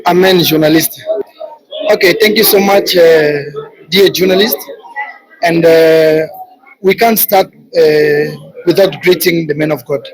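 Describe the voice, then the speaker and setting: A man delivering halting, formal greetings as a church representative. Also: on the high side, steady tone, poor audio. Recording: live church, crowd audible behind.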